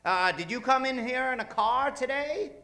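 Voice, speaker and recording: A man's voice: very high-pitched, animated, normal speed, sharp tone. A man hosting a live comedy radio show from a theater stage, bantering with the audience and drawing out names in a theatrical announcer style.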